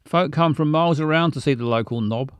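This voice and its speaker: A man's voice: on the high side, a little fast, clear, resonant tone, dry recording, clean studio sound. A man, casually chatting with stream viewers in a rambling, stammering, self-mocking way. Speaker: livestream host.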